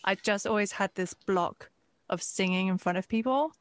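A woman hosting an interview, speaking in a relaxed, candid and slightly hesitant way. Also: medium pitch, normal speed, no room sound. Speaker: interviewer, host. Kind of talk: conversational interview.

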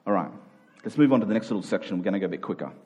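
A man's voice: fairly low pitch, animated, very fast, a little mellow, husky. A man preaching a sermon, repeating key phrases for emphasis and checking in with his listeners.

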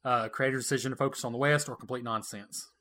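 A man's voice: medium pitch, fairly even intonation, quick pace, sharp tone. A man co-hosting a casual gaming podcast, running a trivia quiz in a playful, teasing way and laughing often. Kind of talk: casual retro gaming chat.

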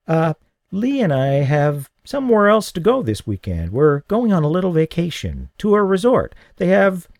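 A male narrator voicing a kind young woman who sounds gentle and a little hesitant. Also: on the low side, huge pitch swings, moderate pace, creaky, no echo, clean studio sound, voice pushed up, heavily acted. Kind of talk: audiobook reading.

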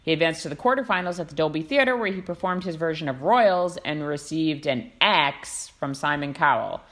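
Woman podcast co-host, low voice with a lively swing, playful casual chat. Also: fairly quick.

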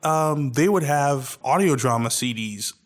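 A man's voice: medium pitch, a little slow. A man with an American accent, a guest chatting casually on a podcast and rambling through restarts. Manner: rambling, with many restarts and fillers. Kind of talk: casual chat.

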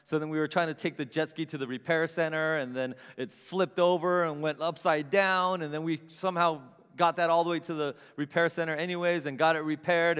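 A middle-aged man preaching a sermon, telling funny stories about himself with big swings in loudness. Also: on the high side, smooth, in a large hall. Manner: animated, self-mocking storyteller with big swings in loudness. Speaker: pastor. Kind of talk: storytelling sermon. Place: church sanctuary with long reverb.

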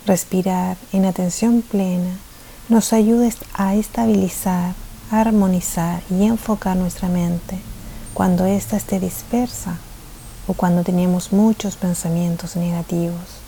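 A woman with a lowish, very mellow voice leads a guided meditation. She is gentle and soft-spoken, with gravelly fry at the edges and a habit of slipping into near-whisper. A faint hiss sits behind her voice. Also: fairly even intonation, rough recording. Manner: soothing, gentle phrasing with pauses.

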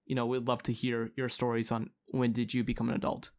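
A man's voice: mid-range voice, monotone, brisk. A man co-hosting a podcast in casual chat, hesitant with many fillers and restarts. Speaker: podcast co-host.

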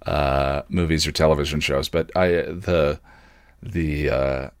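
A man hosting a solo podcast, talking casually and reflectively in a halting, self-questioning way. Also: extremely low pitch, steady tone, clean tone, no room sound, studio quality. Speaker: podcast host and video creator.

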